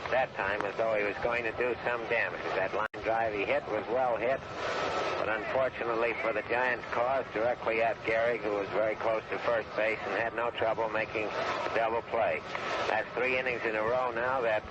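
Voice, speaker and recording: An American man giving baseball commentary in 1930s radio style, recapping play in measured, explanatory sentences. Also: lowish voice, fairly even intonation. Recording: muffled, very noisy vintage radio broadcast.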